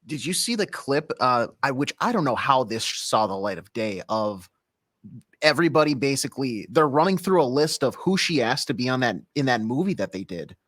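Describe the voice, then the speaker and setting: A man's voice: mid-range voice, sing-song, normal speed, fairly bright tone, no echo, studio quality. A man co-hosting a radio talk show, speaking in a wry, sardonic way. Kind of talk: casual radio chat.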